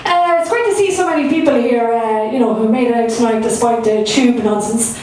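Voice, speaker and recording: A woman's voice: on the high side, fairly quick, a little mellow, a little hiss or hum. A young Irish woman performing her first stand-up set, chatty and self-mocking, with lots of fillers. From Ireland. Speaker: stand-up comedian. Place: comedy club stage. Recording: echoey room, from far off.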